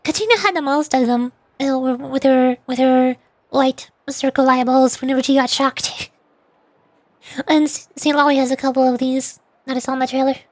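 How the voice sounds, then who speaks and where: A woman's voice: sits high, fairly even intonation, moderate pace, no echo. A woman livestreamer chatting casually about a game in a bubbly, girlish manner. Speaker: streamer playing and voicing game lines. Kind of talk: casual livestream chat and commentary.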